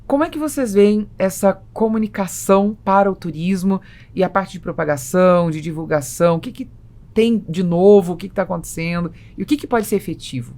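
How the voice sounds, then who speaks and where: A woman's voice: mid-range voice, a little fast, warm tone. A Brazilian woman hosting a conversational podcast interview, putting questions to her guests.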